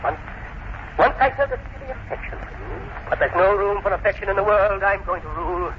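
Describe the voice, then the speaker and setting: An actor playing a vengeful, grandiose old professor in a radio drama, speaking with pompous, condescending calm. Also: male voice, sits high, fairly quick. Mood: imperious. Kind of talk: 1940s radio drama acting. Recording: muffled old radio recording, faint noise.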